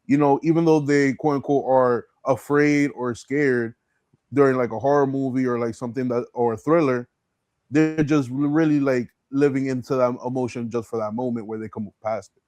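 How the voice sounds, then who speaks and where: A man's voice: mid-range voice, fairly even intonation, normal speed, darkish tone, no echo. A man hosting a casual chat, interviewing in slangy, agreeable, laid-back phrasing. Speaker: podcast host, interviewer.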